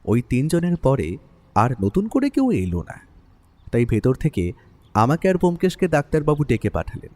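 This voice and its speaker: Man as expressive, mellow Bengali storyteller. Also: mid-range voice, normal speed, natural voice. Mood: reflective, narrating. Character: easygoing male writer, the detective's companion, narrating events. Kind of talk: first-person Bengali story narration.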